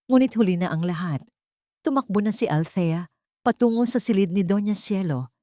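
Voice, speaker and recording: A woman's voice: mid-range voice, lively intonation, moderate pace, warm tone, no room sound, clean studio sound. A woman narrating a dramatic scripted story.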